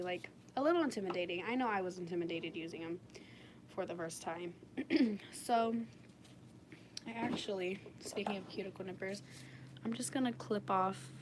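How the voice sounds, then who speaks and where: A woman with a raspy, slightly under-the-weather voice, chatting casually and informally as she demonstrates nail work on a livestream. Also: medium pitch. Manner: informal, frequent "like". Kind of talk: casual live chat while demonstrating. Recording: clearly noisy home livestream recording. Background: washing machine noise.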